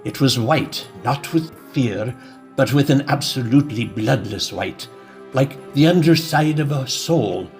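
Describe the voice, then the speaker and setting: A man's voice: mid-range voice, animated, unhurried, vocal fry, a little mellow, faint music behind. A man reading scripted, dramatic story narration.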